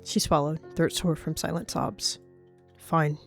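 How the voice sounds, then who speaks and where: Slightly low-voiced woman narrating drama. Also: steady tone, brisk, darkish tone, soft background music. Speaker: storyteller. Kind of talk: dramatic third-person story narration.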